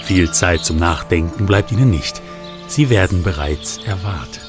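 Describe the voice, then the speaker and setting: A man narrating a radio play in a calm storytelling manner, with music behind him. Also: low voice, moderate pace.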